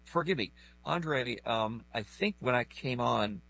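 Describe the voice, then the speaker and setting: Husky-voiced male interviewer, halting and polite. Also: mid-range voice, expressive, fairly bright tone, poor audio. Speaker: interviewer, radio host. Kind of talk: conversational interviewing.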